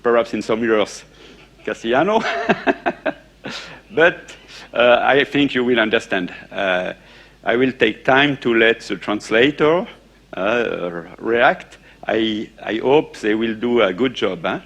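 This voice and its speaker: A man's voice: mid-range voice, expressive, fairly bright tone, lo-fi sound. Guy lecturing at a conference in english, lots of uh and eh, keeps restarting, asks the audience stuff. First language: French. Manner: halting, many fillers and restarts, asks audience questions. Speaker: conference speaker.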